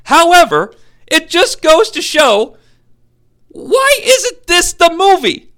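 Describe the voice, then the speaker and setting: Young man, podcast host, sarcastic film review, high voice, very lively, swears. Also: slow pace.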